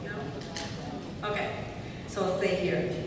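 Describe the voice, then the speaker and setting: A woman physician in her forties from Puerto Rico, a native Spanish speaker with a Puerto Rican accent, giving a conference lecture in quick, informal English with non-native phrasing. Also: lowish voice, noisy background. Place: large conference hall. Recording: echoey hall from far off.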